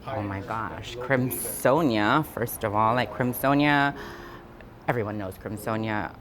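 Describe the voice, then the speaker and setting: A woman's voice: very deep voice, unhurried. A woman from Guam talking casually and reflectively in an interview, candid and conversational, with emphasis on key words. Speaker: interviewee, freelance designer and builder. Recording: background music under the voice. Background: music.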